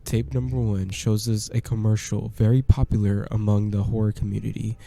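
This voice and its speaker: Dark-voiced man narrating a horror game. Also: lowish voice, little pitch movement, brisk, creaky, a little hiss or hum. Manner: plain, conversational, slightly hesitant. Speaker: gameplay commentator. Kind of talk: casual voice-over game commentary.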